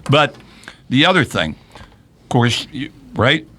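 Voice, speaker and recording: A man's voice: medium pitch, sing-song, measured pace, pushed and bright, breathy edge. A man from hosting a local political talk radio hour, chatting loosely with many restarts. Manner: rambling, conversational, with many restarts. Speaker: radio show host.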